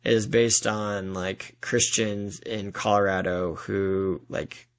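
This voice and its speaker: A man speaking conversationally as an author being interviewed on a podcast, explaining his ideas in a thoughtful, halting way. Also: on the low side, fairly even intonation.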